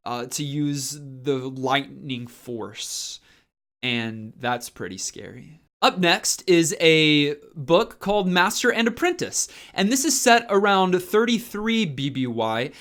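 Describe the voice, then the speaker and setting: A man's voice: fairly high pitch, fairly bright tone. A man talking casually and enthusiastically as a fan, hosting a review video. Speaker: book reviewer and channel host.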